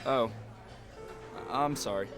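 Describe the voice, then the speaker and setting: A man's voice: mid-range voice, slow pace. Man acting wry father, music behind. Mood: flustered, apologetic. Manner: articulate, wry, formal phrasing. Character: middle-aged British father, wistful, proud, easily indignant. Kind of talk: radio drama acting, letter narration.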